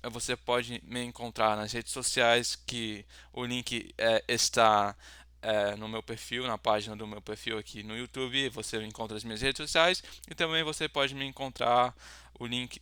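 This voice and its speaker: A young Brazilian man speaking with a Brazilian Portuguese accent. His voice is bright and crisp, and it sits dry and close to the mic. He talks like a tutor introducing himself, loose and rambling, full of fillers and restarts. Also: medium pitch, no room sound. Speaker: language tutor. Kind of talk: casual self-introduction to camera.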